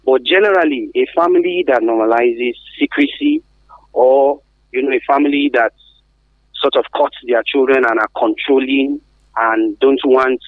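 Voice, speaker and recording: A phone-like, narrow sound carries a Nigerian man's voice at a medium pitch, very dry and free of room echo. He explains as a guest expert, stressing his points and posing questions that he answers himself. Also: expressive, normal speed. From Africa. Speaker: guest expert on survivors of trafficking. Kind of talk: radio interview, explanatory. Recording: thin, phone-like radio line.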